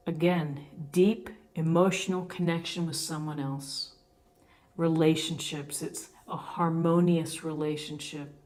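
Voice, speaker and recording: A woman giving an unscripted tarot reading, explaining the cards with gentle encouragement and deliberate pauses between phrases. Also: sits low. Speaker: tarot reader, host.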